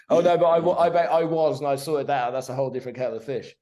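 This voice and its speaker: Sweary animated man in his forties. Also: a little high, fast talker. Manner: sweary, animated, keeps tagging "right?". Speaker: podcast guest. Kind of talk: casual conversation.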